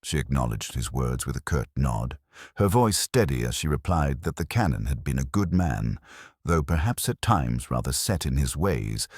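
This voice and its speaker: A man reading a scripted mystery story in a formal, measured literary manner, as an audiobook narrator. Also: rumbling low, darkish tone, breathy edge, dry recording, clean studio sound.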